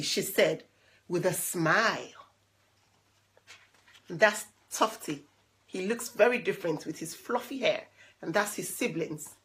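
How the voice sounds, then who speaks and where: A woman reading a children's picture book aloud in an expressive, dramatic way, with little asides to the listeners. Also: mid-range voice. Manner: dramatic, animated, with drawn-out repeated words.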